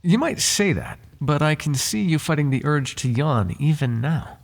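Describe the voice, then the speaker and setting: A man's voice: a little high, animated, normal speed, creaky. A man playing a devoted, lovesick butler in an intimate ASMR roleplay, flirting with his master in formal, courtly, teasing and tender words. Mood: tender, coaxing.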